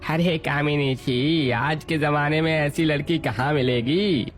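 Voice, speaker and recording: A man's voice: a little high, a little slow, natural voice. A man playing a persuasive, sly marriage broker in an acted family story. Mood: obliging.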